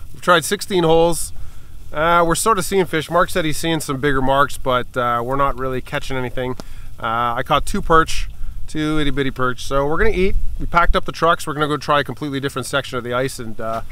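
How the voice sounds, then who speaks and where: A man in his thirties chatting excitedly to viewers while ice fishing outdoors on a snowy lake, recorded on a camera mic. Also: on the high side. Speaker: fishing vlogger. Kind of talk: casual vlog narration.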